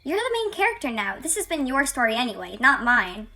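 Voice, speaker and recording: A woman plays a plucky radio-play heroine, a girl with a very high, bright voice. She talks in a chatty, gently corrective way and stays optimistic. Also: big pitch swings, brisk, full character voice. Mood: encouraging. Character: plucky, optimistic girl heroine who contradicts her narrator.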